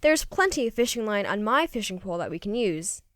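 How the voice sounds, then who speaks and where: A woman's voice: medium pitch, very animated, brisk, a bit bright, no room sound, studio quality. A woman voicing a puzzled, proud male fisherman in a children's storybook, asking baffled, indignant questions. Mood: helpful.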